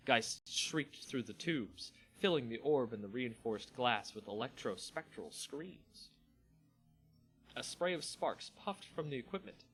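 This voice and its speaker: A man reading a fantasy story aloud as a theatrical, deliberate dramatic narrator. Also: mid-range voice, a bit bright, breathy edge, rough recording.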